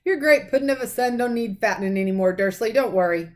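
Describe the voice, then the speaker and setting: A woman's voice: fairly high pitch, fast, a little mellow, pitched above the performer's own voice, lightly acted. A woman voicing a big, rustic giant man, kindly but easily outraged, in thundering, folksy exclamations for a storybook. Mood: gruff amusement.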